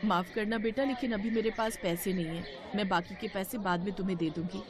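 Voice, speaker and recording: A woman voicing a humble, principled elder daughter-in-law and patient mother in a cartoon story, gentle and earnest. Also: mid-range voice, steady tone, a little fast, a crowd in the background, close to an everyday voice. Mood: gentle, apologetic.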